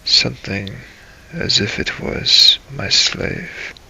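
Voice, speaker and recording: A man hosting a gaming series, narrating a scripted first-person story in a brooding, halting, dramatic way. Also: lowish voice, lively intonation, gravelly, bright, forceful tone, breathy edge, poor audio. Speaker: host of a role-play gaming series.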